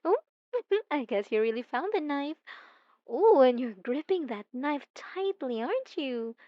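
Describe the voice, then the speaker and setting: A woman's voice: sits high, expressive, unhurried, dry recording, highs cut off. A woman voicing a possessive, obsessive female stalker in an intimate ASMR roleplay, coy and mocking, cooing with teasing menace. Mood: taunting, menacing.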